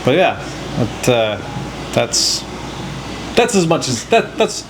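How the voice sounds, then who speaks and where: A man's voice: medium pitch, slow. A male podcast host with a playful, joking manner, chatting casually with his co-hosts. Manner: jokey, playful banter. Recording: steady background noise.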